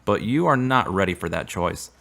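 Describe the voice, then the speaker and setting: A man playing an earnest, devout young man in a radio drama, with measured, formal, preachy phrasing. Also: low voice, lively intonation, fairly bright tone. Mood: solemn, assured.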